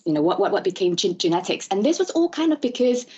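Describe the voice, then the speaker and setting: A woman from Malaysia in her thirties, a guest in an interview, who talks in a halting way with many fillers and restarts. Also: medium pitch, sing-song, warm tone, husky. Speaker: interview guest, ADHD advocate. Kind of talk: conversational interview. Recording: dull lo-fi audio.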